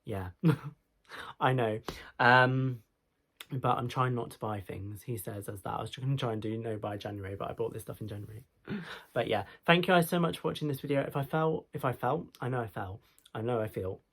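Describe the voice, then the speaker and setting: A man's voice: mid-range voice, normal speed, a bit bright, slightly rough. Chatty young Englishman with a cold. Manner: chatty, rambling, many fillers and restarts. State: nasal from a head cold. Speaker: fashion vlogger. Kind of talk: casual try-on haul vlog. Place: small bedroom, indoors. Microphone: camera mic, moving toward and away.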